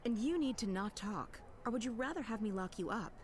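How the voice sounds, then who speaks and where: A woman's voice: fairly high pitch, expressive, fairly quick, a little hiss or hum, natural voice. An actress playing a curt, guarded, war-weary young rebel woman in a fantasy game, terse and no-nonsense. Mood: curt, impatient.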